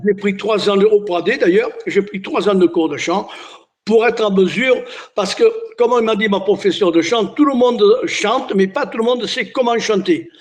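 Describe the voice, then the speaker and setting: An older man born in Italy, Italian his first language, chats as an interview guest. His voice sits high, and he wanders through anecdotes with false starts and little "hein" tags. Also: normal speed. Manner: chatty storyteller, many restarts and "hein". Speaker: singer and entertainer, guest. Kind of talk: casual interview chat.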